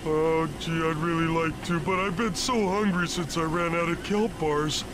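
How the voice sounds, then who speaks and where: A man's voice: a little high, steady tone, moderate pace, background noise, lightly acted. A man voicing a dim, childlike starfish playing superhero in a cartoon video game, dopey, naive and mock-heroic. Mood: goofy, pleading.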